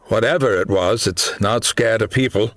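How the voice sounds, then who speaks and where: A knowing jungle tracker in an audiobook thriller , voiced by a male narrator. He speaks gravely and matter-of-factly in a low voice. The recording is dry and free of room sound. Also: moderate pace, fairly bright tone, no echo, natural voice.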